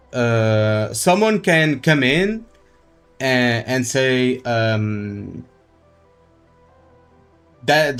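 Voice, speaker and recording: A man chatting casually on a game livestream, giving opinions and repeating phrases as he explains his points. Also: mid-range voice. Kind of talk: casual livestream chat and commentary. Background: faint music.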